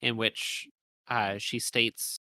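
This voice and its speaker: Bright-voiced man, analytical podcast co-host. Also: mid-range voice, flat delivery, slow pace. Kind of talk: discussion of a video game.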